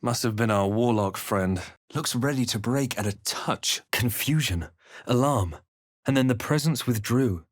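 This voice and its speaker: Fantasy game hero: young, guarded, earnest, medium pitch, measured dramatic delivery, dry studio sound. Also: male voice, lively intonation, dry recording. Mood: matter-of-fact, wary. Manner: measured, theatrical storytelling delivery. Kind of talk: dramatic first-person narration and reactions in a fantasy game.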